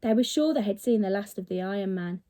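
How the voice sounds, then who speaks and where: A woman's voice: mid-range voice, lively intonation, quick pace, dark tone. A woman reading a children's story expressively, with dramatic, emphatic delivery, like a teacher reading to pupils.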